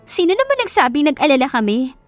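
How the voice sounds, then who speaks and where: A spiteful young sister, played by a woman narrator in an audio romance. Her voice is high and animated, full of taunting sarcasm. Music sits behind the words. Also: fast talker, dry recording. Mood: mocking.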